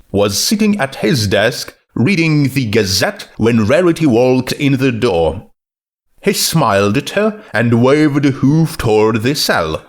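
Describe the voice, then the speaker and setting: Male narrator, slow delivery, lively pitch swings, scripted storytelling. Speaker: narrator reading fan fiction.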